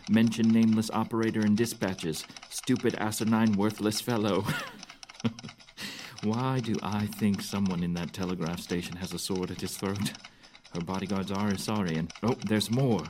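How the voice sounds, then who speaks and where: A man playing a commanding general in an audio drama. The voice is low, hearty and wry, declaiming over faint clicks and background noise. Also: a little mellow, close to an everyday voice.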